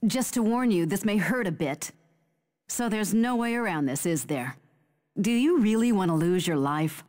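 A woman's voice: mid-range voice, expressive, normal speed, darkish tone, in a large hall, natural voice. An actress playing a fierce female fighter who taunts opponents in haughty, theatrical battle lines for a video game. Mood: confident, challenging. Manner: haughty, theatrical, clipped declarations.

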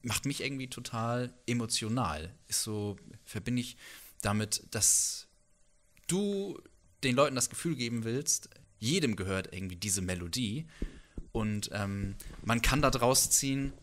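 A man's voice: medium pitch, expressive, measured pace, a bit bright. A man in his thirties hosting a conversational music interview in a tentative, reflective way. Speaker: interviewer, host. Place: indoors, living room with instruments. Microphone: handheld microphone.

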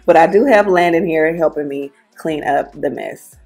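A young woman talks viewers through her decluttering in an easygoing, chatty voice-over. Her voice sits low and even. Soft music plays underneath. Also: moderate pace. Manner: relaxed, chatty narration addressing viewers. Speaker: vlogger. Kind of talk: clean-with-me voice-over. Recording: faint music bed underneath.

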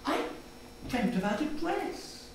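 A man's voice: very high voice, very animated, takes it slowly, voice pushed up, exaggerated voice. An older man voicing a timid, hopeful young child who speaks hesitantly and wistfully in a storybook reading. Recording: echoey hall from far off, some noise.

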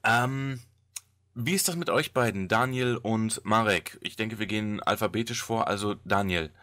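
A man's voice: on the low side, normal speed, sharp tone. A man hosting a casual podcast chat with a wry, mock-dramatic way of talking. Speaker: podcast host.

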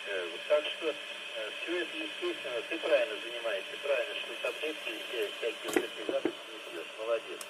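A man's voice: mid-range voice, animated, brisk. A man chatting casually as a radio operator, rambling and hesitant with many fillers. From Russia. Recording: noisy two-way FM radio, loud static.

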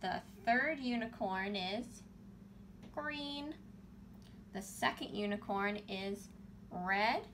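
A woman in her thirties hosts a children's storytime at a slow, measured pace. Her phrasing is simple and instructive, recorded indoors with faint noise. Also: medium pitch, fairly bright tone. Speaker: children's librarian and storytime host. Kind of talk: storytime presenting for young children. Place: small room at home, indoors. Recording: home video with faint background noise.

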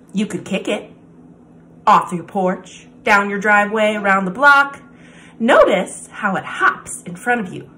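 A woman presenting story time, reading a children's picture book aloud with deliberate, expressive phrasing and pauses. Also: mid-range voice, a little hiss or hum.

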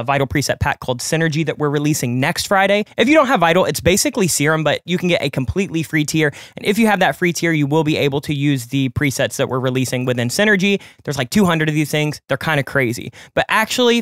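A man in his late twenties walks through a music production in a tutorial, talking fast and casually as he explains each step. His voice sits a bit high and sounds smooth and clear. The sound is dry and close, recorded in his home studio. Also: fairly bright tone, no room sound. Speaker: music producer and channel host. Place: home studio with colored lights.

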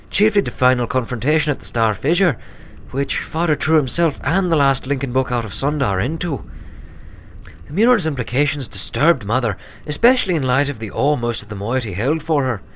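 A man's voice: fairly high pitch, slight background noise, pitched above the performer's own voice. A man voicing a smug, serious young filly pony who explains things matter-of-factly in a story reading.

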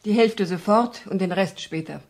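An actress playing an anxious, insistent young client in a 1970s German radio crime drama, speaking in a serious, clipped way. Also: female voice, medium pitch, normal speed, darkish tone, lightly acted. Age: young adult.

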